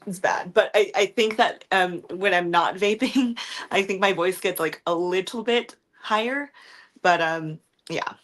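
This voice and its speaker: A young woman with a deep voice, chatting frankly in a rambling livestream, answering viewer questions with lots of ums, likes and swearing. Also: a little slow, a bit bright. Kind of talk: casual livestream chat.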